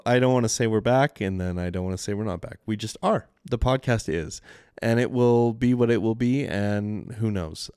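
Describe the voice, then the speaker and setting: A man's voice: on the low side, darkish tone, clear, resonant tone, dry recording, studio quality. A man with a Canadian accent hosting his own solo podcast, rambling and self-deprecating in casual chat, with frequent swearing. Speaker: podcast host. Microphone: studio mic with mixer.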